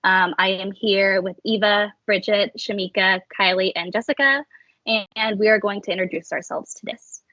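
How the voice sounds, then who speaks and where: A woman's voice: mid-range voice, steady tone, normal speed, sharp tone, no room sound. A woman of twenty-one from Texas casually introducing a student podcast as its host. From Texas, United States. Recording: muffled lo-fi close dry audio.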